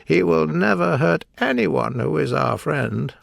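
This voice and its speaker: A man's voice: on the high side, expressive, measured pace, gravelly, no echo, studio quality, pitched above the performer's own voice, exaggerated voice. A man voicing an earnest scarecrow. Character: friendly earnest male scarecrow.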